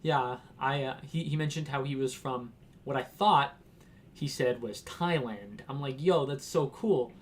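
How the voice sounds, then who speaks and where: A man's voice: medium pitch, a little slow, slight background noise. A young man from Washington telling a casual, rambling story, laughing and acting out quotes. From Washington, United States. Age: young adult. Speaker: vlogger.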